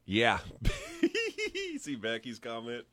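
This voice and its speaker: A man's voice: medium pitch, wildly swooping pitch, very slow, a little hiss or hum. A middle-aged man hosting a radio morning show, bantering casually and telling stories with jokes that trail off. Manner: joking, storytelling, trails off quietly. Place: radio studio. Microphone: studio microphone.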